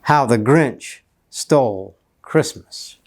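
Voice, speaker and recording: Man, storybook reader, theatrical and playful, very lively pitch, unhurried pace, drawn-out emphasis. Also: background noise. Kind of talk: expressive children's storybook read-aloud.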